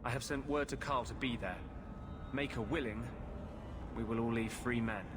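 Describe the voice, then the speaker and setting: An actor playing an earnest, brooding runaway warden who defends mages, in measured video game dialogue. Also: male voice, medium pitch, natural voice. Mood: resolute. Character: weary, defiant runaway warden, protective of mages. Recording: clear background noise behind the voice.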